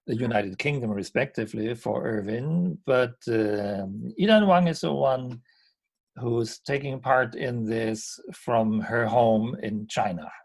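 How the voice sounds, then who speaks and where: A man calmly introducing students one by one in an online seminar. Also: mid-range voice, takes it slowly, gravelly, breathy edge. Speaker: professor hosting seminar. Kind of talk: moderating introductions. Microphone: video call.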